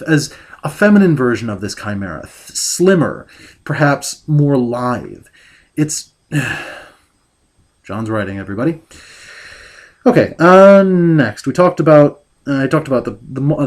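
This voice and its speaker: Male book reviewer, mid-range voice, exasperated and ranting, halting delivery with lots of restarts, home desk mic. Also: mid-range voice, a little mellow. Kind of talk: critical book review rant.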